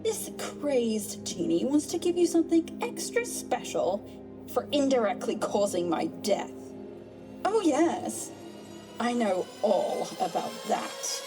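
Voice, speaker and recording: A woman voicing a gleefully sadistic genie villainess in an audio drama, with a theatrical, singsong, taunting manner. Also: on the high side. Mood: mocking, sadistic. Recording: music under the voice. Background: music.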